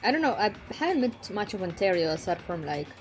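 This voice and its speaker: Brief: young woman streamer, Spanish-accented English, giggly rambling chat, soft music underneath. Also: mid-range voice, very animated, fast talker. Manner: giggly and rambling, often laughing between lines. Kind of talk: casual live-stream chat with viewers.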